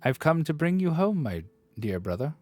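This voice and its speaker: A gleeful little girl, as a man strains for it, cooing in a squeezed, high falsetto. Her lines bounce brightly upward, pleading and affectionate. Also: big pitch swings, normal speed, faint music behind.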